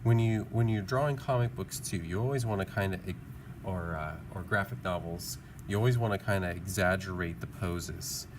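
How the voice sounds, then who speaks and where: Man, deep voice, informal art teacher, musing tutorial style, encouraging, clear background noise. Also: moderate pace. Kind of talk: casual drawing tutorial, thinking aloud.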